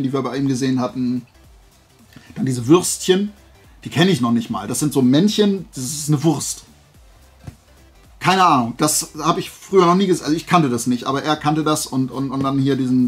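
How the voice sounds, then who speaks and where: A man talks to his viewers as a video host. He rambles along enthusiastically in loose, colloquial, unscripted chat, with music playing behind him. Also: fairly high pitch. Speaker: video host showing collectible finds.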